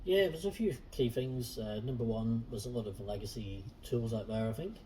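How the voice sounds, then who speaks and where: A man hosting a casual interview chat, relaxed and friendly with frequent fillers. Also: mid-range voice, moderate pace, far from the mic, a little hiss or hum, low-quality recording. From Southern Hemisphere. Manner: relaxed, friendly, many ums. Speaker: host.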